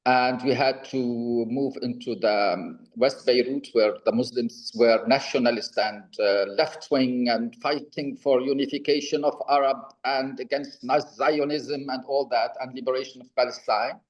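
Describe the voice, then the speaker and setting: A middle-aged Lebanese man, Arabic his first language, talking on a video call in a halting, wry way with many fillers and restarts. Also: mid-range voice, steady tone, muffled recording. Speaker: presenter.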